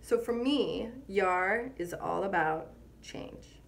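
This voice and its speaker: A woman's voice: medium pitch, slow, creaky, background noise. A woman in her thirties speaking earnestly to the camera as an advocate, with firm emphasis. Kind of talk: earnest testimonial talk to camera. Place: small indoor room.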